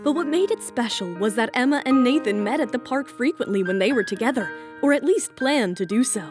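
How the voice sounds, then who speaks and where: A woman's voice: on the high side, expressive, a little fast, over music. A woman narrating a scripted romance audio drama.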